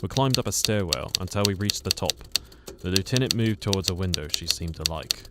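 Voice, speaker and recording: A British war reporter, played by a male actor narrates in the first person for a radio play. The voice is low, and the track is very noisy, with typewriter keys clacking. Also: expressive, a little fast. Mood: grave. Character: British war reporter recounting his frightening wartime experience.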